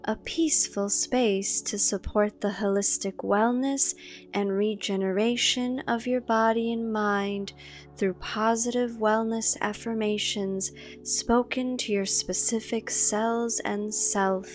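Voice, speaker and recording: A woman narrating a guided meditation with affirmations in a calm, measured way, leaving long pauses between phrases. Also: mid-range voice. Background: meditation music.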